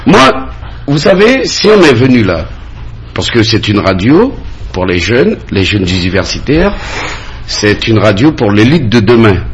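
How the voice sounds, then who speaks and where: A man's voice: fairly low pitch, big pitch swings, measured pace, slight background noise. A man from Guiana, speaking insistently and repeating phrases as a candidate in a radio campaign debate. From French Guiana. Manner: insistent, emphatic, repeats phrases to drive points home. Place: radio studio.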